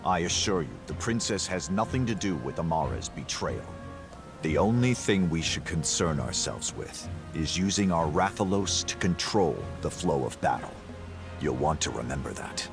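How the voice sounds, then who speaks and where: A man's voice: rumbling low, sing-song, normal speed, over music, exaggerated voice. An actor playing a stern, commanding king in a video game cutscene, speaking formally and with authority. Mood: stern, confronting. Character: stern, commanding king addressed as His Majesty.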